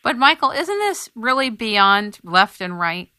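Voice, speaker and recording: A woman hosting an interview, asking pointed questions in a deliberate way. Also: fairly high pitch, sing-song, sharp tone, clear, resonant tone, dry recording, studio quality. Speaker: radio interviewer, host.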